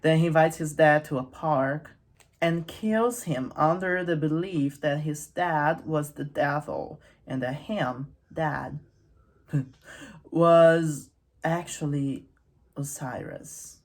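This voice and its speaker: A woman's voice: deep voice, slow pace. A woman hosting a casual, humorous literary commentary, with wry, irreverent asides in a conversational way. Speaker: host of a literary channel.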